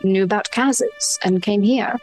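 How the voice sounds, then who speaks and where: A woman talks through her turn at a tabletop game at a very unhurried pace, tentative and thinking aloud. Her pitch is average, and music is clearly audible behind her. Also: a little mellow. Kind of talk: improvised in-game discussion and casual table talk. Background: background music.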